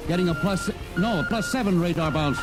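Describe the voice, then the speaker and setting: An actor playing a commanding, decisive starship captain who barks terse orders in an old-time radio sci-fi drama. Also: male voice, high-pitched, huge pitch swings, a little fast. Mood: tense. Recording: old radio drama under loud background music.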